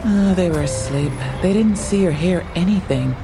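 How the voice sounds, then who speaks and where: An actress playing a matter-of-fact police officer briefing a colleague in an audio drama. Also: female voice, lowish voice, moderate pace, over music, close to an everyday voice.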